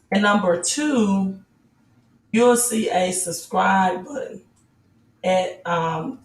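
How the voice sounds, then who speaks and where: Hoarse raspy woman, casual livestream host, roomy distant mic with faint music under it. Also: fairly low pitch. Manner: greets viewers, urges likes and subscribes.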